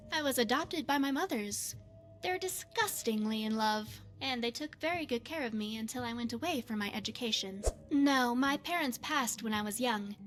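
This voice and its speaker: A woman voicing a poised, secretive retired spy and mage in a fantasy game, speaking in a measured, formal, coy way. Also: a little high, a bit bright. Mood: coy, matter-of-fact. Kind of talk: scripted fantasy game character dialogue.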